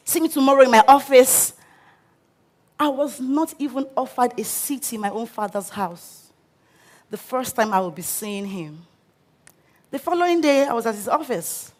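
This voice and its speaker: A woman with a Nigerian English accent tells her life story from a stage in an expressive, dramatic way. Her voice sits a little higher than most women's. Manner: expressive, dramatic, acts out quotes. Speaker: speaker. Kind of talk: inspirational storytelling talk. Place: auditorium stage.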